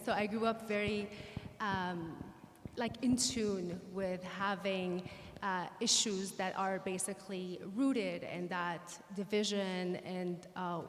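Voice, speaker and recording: A woman from Syria giving an earnest personal talk to an audience, telling her story in a measured way. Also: medium pitch. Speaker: guest speaker. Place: synagogue hall. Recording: reverberant hall.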